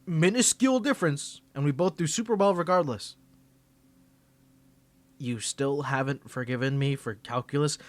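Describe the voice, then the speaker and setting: Man, fairly high voice, dry booth sound: stammering, flustered, bossy roleplay rival talking straight to the listener. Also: pushed and bright, dry recording. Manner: flustered, stammering, defensive grumbling; bossy, scolding outbursts. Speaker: ASMR roleplay performer. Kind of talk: ASMR roleplay, speaking to the listener.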